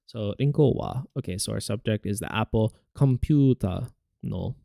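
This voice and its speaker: A man's voice: medium pitch, gravelly, warm tone, dry recording, studio quality. A man learning a language on camera, repeating words after the audio and puzzling over grammar aloud in a casual way. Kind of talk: casual study vlog, thinking aloud.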